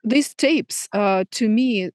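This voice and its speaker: A middle-aged woman from Moscow with a Russian accent, a native Russian speaker, answering interview questions thoughtfully with hesitant pauses. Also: medium pitch, darkish tone, no echo, clean studio sound. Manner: thoughtful, many fillers and restarts. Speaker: filmmaker guest.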